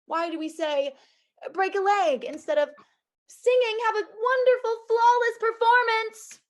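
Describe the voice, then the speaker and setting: Lady doing a frazzled superstitious assistant, high voice, pleading and bossy, comedy table read. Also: measured pace. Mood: alarmed. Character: exasperated, superstitious female executive assistant, anxious and bossy. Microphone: video call.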